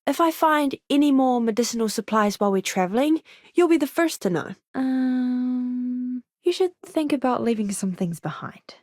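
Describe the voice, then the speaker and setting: A woman voicing an earnest, eager young ship's doctor in a video game, with a polite, expressive manner. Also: sits high, normal speed, no echo, studio quality. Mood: hushed, uneasy. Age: young adult. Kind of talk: game character voice lines.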